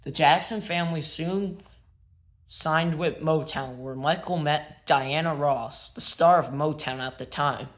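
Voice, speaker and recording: A man reads a biography aloud in a slightly high voice. He takes his time, setting out each phrase in a plain, measured storytelling way. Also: a bit bright. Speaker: narrator and show host. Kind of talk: read-aloud biography narration.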